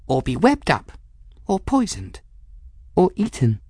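A man's voice: high voice, wildly swooping pitch, moderate pace, breathy edge, no echo, studio quality, voice pushed up, full character voice. A man voicing a timid, nervous girl apprentice with a fretful, hesitant manner in a storybook reading. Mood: fearful. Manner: fretful, hesitant, small-voiced.

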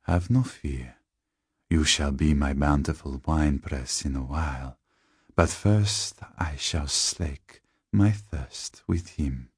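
A man voicing a tall, sardonic male vampire in a gothic horror story, slow and deliberate with soft, seductive menace. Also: extremely low pitch, husky, no room sound, studio quality, heavily acted. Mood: mild menace. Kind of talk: gothic horror audiobook character.

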